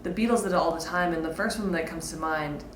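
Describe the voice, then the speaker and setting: Casting: female guitar instructor, slightly low pitch, informal think-aloud teaching style, echoey room recording. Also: quick pace, slight background noise. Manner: casual, explanatory, thinking aloud. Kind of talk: informal instructional talk with demonstrations. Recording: roomy echo, guitar played alongside. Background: electric or acoustic guitar playing.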